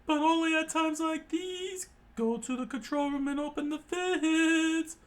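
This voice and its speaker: A man voicing a cocky, sharp-tongued young ship's cook in a brash, taunting falsetto for an adventure story. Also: measured pace. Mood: scheming.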